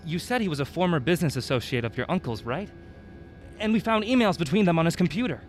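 An actor playing a wry, jittery male traveler in a comic radio drama, excitable and anxious. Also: on the high side, quick pace, soft background music, natural voice.

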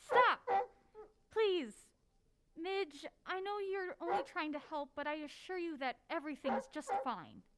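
An anxious, mild-mannered woman in an audio drama, very high in pitch with big swings of intonation. She sounds wary and skeptical, quick to get exasperated. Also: expressive, heavy background noise, full character voice. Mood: strained, polite. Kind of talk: naturalistic audio drama acting.

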